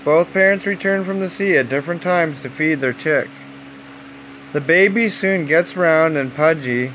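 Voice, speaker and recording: A man narrating a children's nature story aloud in simple, deliberate phrases, as if at bedtime. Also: on the high side, fairly bright tone, slight background noise, rough recording.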